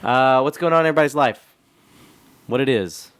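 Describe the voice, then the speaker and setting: Male podcast host, thirties, lively up-and-down delivery, jokey and teasing. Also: mid-range voice, clear, resonant tone, no echo. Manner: playful, joking, quick to laugh. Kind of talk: casual chat.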